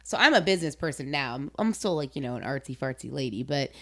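A woman's voice: very deep voice, expressive, fast talker. A woman hosting a casual interview, talking informally and giving her opinions with emphasis. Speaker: interview host.

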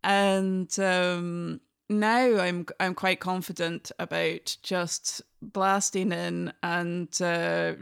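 Scottish woman in her 40s, thoughtful guest, bit of fry in her voice, restarts a lot. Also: on the low side, level tone, very slow. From Scotland. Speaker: guest musician. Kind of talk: reflective conversation.

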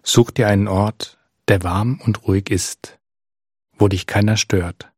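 A man leading a guided body scan meditation as an instructor, speaking calmly and gently with unhurried pauses. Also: fairly low pitch, slightly rough, no room sound, studio quality.

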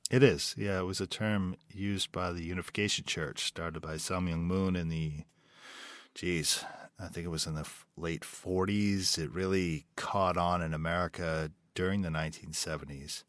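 A man, a writer and director, answering interview questions thoughtfully, pausing and restarting as he searches for words. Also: sits low, fairly even intonation, a bit bright, no room sound.

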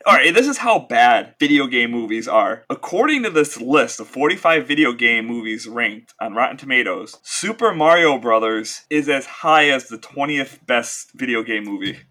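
A man's voice: medium pitch, expressive, moderate pace, hardly any pauses, sharp tone. A man co-hosting a podcast, chatting casually about films with opinions and dry jokes. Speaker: podcast co-host.

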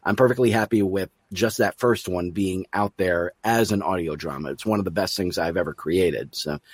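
A man hosting an interview podcast, conversational and affirming, repeating words haltingly as he thinks. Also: deep voice, darkish tone, no echo.

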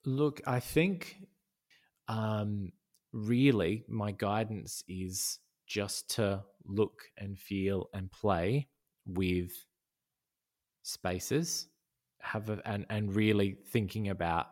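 A man's voice: lowish voice, fairly even intonation, extremely slow, darkish tone. A man talking as a podcast guest in a casual conversation, thoughtful, with many fillers and restarts. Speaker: podcast guest, design educator.

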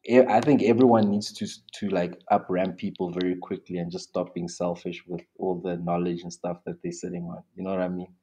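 A man's voice: low-pitched, level tone, a little fast, dark tone, husky. A young South African man talking casually as an interview guest, rambling with many restarts and fillers.

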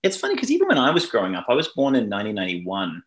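A man's voice: lowish voice, huge pitch swings, very fast. A man in his thirties, raised in New Zealand, with an Australian accent, chatting casually as a podcast guest in a rambling way, often breaking off mid-sentence. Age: 30s. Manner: rambling, self-interrupting, laughs between thoughts. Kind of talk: casual chat.

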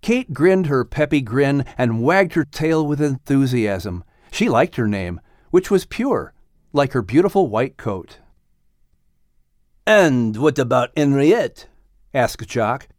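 Male storyteller for children's audiobook, expressive scripted read, lively melody, dry intimate sound. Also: medium pitch, measured pace, no room sound. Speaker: audiobook narrator.

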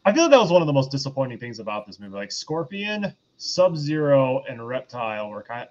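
A man's voice: medium pitch, sing-song, a little fast, a little mellow. A man on a podcast panel, casually explaining and commenting on a film, over slightly muffled lo-fi audio.

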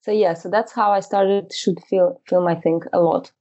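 Mellow woman, hesitant. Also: lowish voice, steady tone, normal speed, dull audio. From Moscow, Russia. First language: Russian. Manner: hesitant, many fillers and restarts, light laughs. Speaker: guest, fashion photographer. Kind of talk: casual interview chat.

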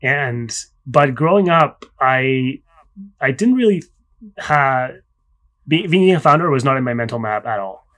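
A man's voice: medium pitch, expressive, fairly bright tone. Raised man, reflective founder. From Spain. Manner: reflective, with many fillers and pauses. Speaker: startup founder guest. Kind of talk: casual interview talk.

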